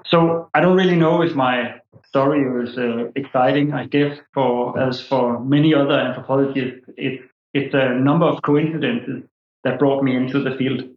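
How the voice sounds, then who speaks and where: Guest: Danish-accented man, 40s, halting academic storyteller; roomy, muffled sound. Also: mid-range voice, fairly even intonation. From Denmark. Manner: hesitant, many fillers and restarts. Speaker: guest, anthropology professor. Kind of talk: interview answers, storytelling.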